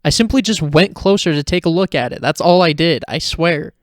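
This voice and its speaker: A woman's voice: low-pitched, brisk, no echo, studio quality, lightly acted. A woman playing a frightened but defiant human girl in an audio story, blunt and exclamatory, swearing freely. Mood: defensive.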